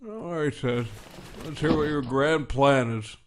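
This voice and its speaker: A man playing a soft-hearted, dithering American president in a comedic audio drama, hesitant and folksy, indulgent in his replies. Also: on the high side, a little slow, a little hiss or hum, lightly acted. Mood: sleepy, indulgent.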